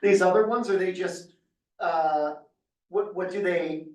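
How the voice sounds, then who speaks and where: A man in the audience asking a question in a hesitant, halting way over a shaky connection. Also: high voice, a little mellow. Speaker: audience member. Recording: shaky remote connection, roomy and distant.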